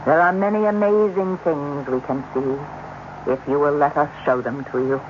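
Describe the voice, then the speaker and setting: An actress playing a composed, authoritative alien doctor with measured, formal speech in a radio drama. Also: female voice, deep voice, fairly even intonation, close to an everyday voice. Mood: reassuring. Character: composed, reassuring alien doctor and explorer, an adult. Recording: muffled, faintly noisy old radio broadcast.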